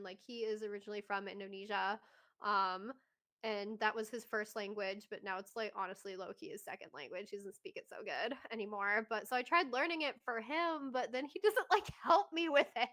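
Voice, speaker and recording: A young American woman in a casual chat, telling stories in an animated, giggly way. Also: medium pitch. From United States. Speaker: guest racing driver.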